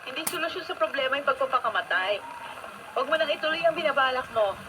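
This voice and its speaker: Kind, earnest Filipino schoolteacher, noisy broadcast. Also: female voice, high voice, expressive, quick pace. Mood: urgent. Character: kind, earnest female teacher, caring and candid. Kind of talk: Filipino radio drama acting.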